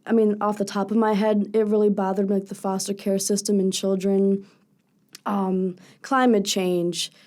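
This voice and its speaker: Young woman singer with a low raspy voice. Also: warm tone. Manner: earnest, candid, talks in long thoughtful runs. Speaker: singer, interview guest. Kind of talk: casual radio interview. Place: radio studio.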